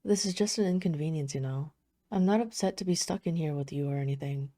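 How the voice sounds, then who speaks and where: A woman playing a poised, flirtatious vampire, speaking softly and teasingly in an intimate audio roleplay. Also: sits low, fast talker, no room sound, clean studio sound. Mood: wry, composed. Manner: soft-spoken, teasing, smooth formal phrasing. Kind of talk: intimate scripted audio roleplay addressed to the listener.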